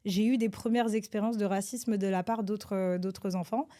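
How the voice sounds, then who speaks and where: A woman from France, a government advisor, answers interview questions in a hesitant, halting way with many filler sounds and restarts. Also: medium pitch, steady tone, dark tone. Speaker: government advisor, podcast guest.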